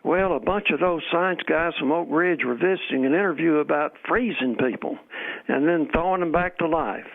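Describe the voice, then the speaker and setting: A man's voice: fairly high pitch, moderate pace, gravelly. A man playing a genial, rambling old newspaperman in a radio play, heard through a telephone filter. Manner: rambling, folksy. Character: rambling, genial old former newspaper colleague, fond of scotch. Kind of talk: old-time radio drama acting. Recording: thin, narrow telephone line.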